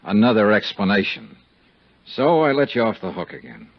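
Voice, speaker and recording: An actor playing a tough, cynical insurance investigator in a 1950s radio detective drama, with a hard-bitten, wry, clipped delivery. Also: male voice, lowish voice, sing-song, normal speed. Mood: cynical, probing. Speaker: lead actor and narrator. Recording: muffled, hissy 1950s radio recording.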